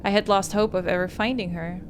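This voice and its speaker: A woman's voice: mid-range voice, steady tone, brisk, noisy background. A woman hosting a game playthrough, reading story narration aloud with casual asides. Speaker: gaming host.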